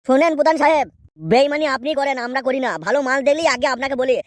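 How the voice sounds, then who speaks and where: A greedy, whining henchman from a cartoon, voiced by a woman, pitched high and talking extremely fast. He complains and wheedles in a dry, close sound. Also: fairly even intonation, no echo, natural voice. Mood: complaining. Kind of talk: adult cartoon comedy acting.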